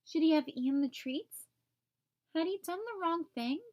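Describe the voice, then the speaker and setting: A woman reading a children's picture book aloud as an expressive storyteller for young listeners. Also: extremely high pitch, moderate pace.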